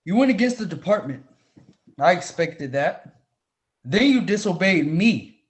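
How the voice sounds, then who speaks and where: A man plays a proud, stern professor in a dramatic reading. His voice is notably high and very animated, with formal, reproving diction. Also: measured pace. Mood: angry reproach. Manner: formal, reprimanding. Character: stern, proud male professor, demanding authority figure.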